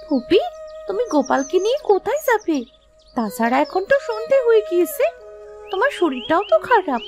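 Woman voicing innocent little village girl, very high. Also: big pitch swings, brisk, over music, full character voice. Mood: concerned. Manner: childlike, concerned. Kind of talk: animated moral story acting.

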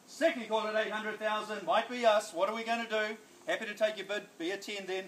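A middle-aged New Zealand man works a backyard auction, his fairly high voice chanting bids in brisk repeats and coaxing buyers along. He is picked up by a phone camera at a distance outdoors, with an echoey ring and a faint hiss of noise behind him. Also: steady tone, fairly bright tone. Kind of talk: rapid auction calling.

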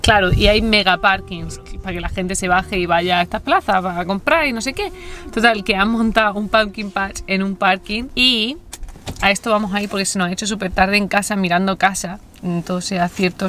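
A woman's voice: medium pitch, bright, forceful tone. A woman in her thirties with an accent from Spain, chatting casually and explaining things to camera as a vlogger.

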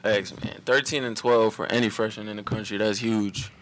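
A young man, a college basketball player, answering reporters after a game in a relaxed, run-on way at a table mic. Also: lowish voice, normal speed, slight background noise. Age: young adult. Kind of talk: post-game press conference. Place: press room at a table before a logo backdrop.

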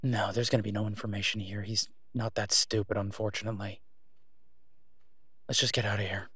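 A man's voice: lowish voice, flat delivery, a little fast, a bit bright, breathy edge, no room sound. A man playing a sardonic, exasperated but protective vigilante antihero in an audio roleplay, with a weary, clipped manner. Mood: resigned, urgent. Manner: sarcastic, weary, clipped, emphatic when irritated. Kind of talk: solo audio roleplay acting, conversational.

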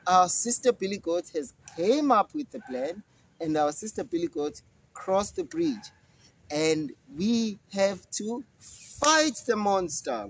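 A man voicing a big, brave older male goat who calmly resolves to fight the monster. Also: high voice, slight background noise, full character voice. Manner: slow, determined.

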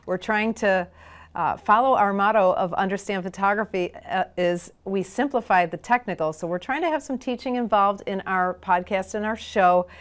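A middle-aged woman from Michigan hosts a photography show in a studio, talking into a lapel mic. Her delivery is chatty and upbeat, turning salesy when she promotes her course. Her voice sits at an ordinary middle pitch. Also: normal speed. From Michigan, USA. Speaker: podcast host and photography teacher. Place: studio with dark backdrop.

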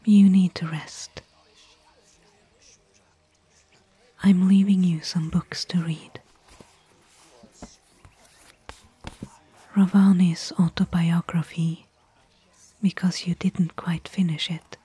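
Actress for bookish woman adventurer, ASMR audio roleplay: mellow, gentle whisper, close and dry. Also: fairly low pitch, flat delivery, no echo. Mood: gentle, caring. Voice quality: breathy, whispered. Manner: slow, gentle, pausing between short phrases. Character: gentle, bookish female desert explorer, caring companion.

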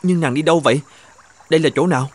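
A man's voice: a little high, quick pace, dry recording, natural voice. A man voicing an earnest young bridegroom. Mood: puzzled. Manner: earnest, questioning, old-fashioned polite speech. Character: earnest young bridegroom, devoted and easily puzzled. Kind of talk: animated folktale dubbing. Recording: music under the voice.

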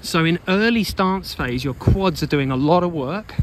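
A man with a fairly high voice talks as a coach in an unscripted walking vlog. He is instructive and emphatic, often repeating a phrase as he works through an explanation. Clear ambient noise from an open beach sits behind his voice. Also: expressive, moderate pace. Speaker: running coach and blogger. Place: outdoors on an open sandy beach. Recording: noisy outdoor beach ambience.

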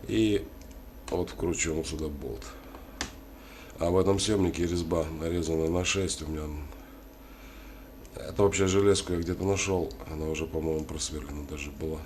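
Guy with a cold doing a diy how-to, low voice, kinda halting, noisy background. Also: fairly even intonation, darkish tone. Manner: halting, thinking aloud while working. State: has a cold. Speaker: DIY tutorial host. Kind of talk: hands-on workshop demonstration, casual. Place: indoors at a wooden worktable. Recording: clearly noisy home recording.

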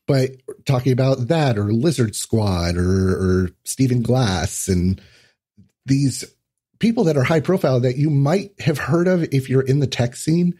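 A man's voice: medium pitch, expressive, measured pace, dark tone, slightly rough. A man talking as a podcast guest in a casual, explanatory, lightly sweary conversational way.

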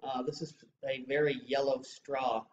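A man's voice: mid-range voice, flat delivery, a little slow. A middle-aged man co-hosting a casual beer review, chatty and rambling with many fillers. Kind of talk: casual chat. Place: small room with shelves of beer bottles. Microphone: webcam recording from a distance. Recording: roomy, distant, dull webcam sound with faint hiss.

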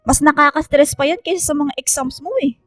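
Actress as gossipy best friend, high and sassy. Filipino accent, blunt radio drama delivery, dry sound over music. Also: female voice, fairly even intonation, fairly quick, dry recording, natural voice. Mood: teasing, disapproving. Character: blunt, gossipy young female student friend, teasing.

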